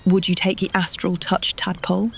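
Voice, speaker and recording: A woman playing a calm, inquisitive adventurer heroine in a video game, even-tempered and questioning. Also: fairly low pitch, moderate pace, creaky, a little hiss or hum. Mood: curious. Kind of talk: dramatic game dialogue.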